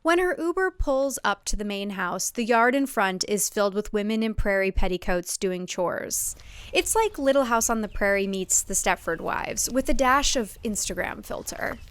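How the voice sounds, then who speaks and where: A woman's voice: medium pitch, lively intonation, normal speed, clear, resonant tone. A woman reading scripted comic thriller narration as an audiobook narrator.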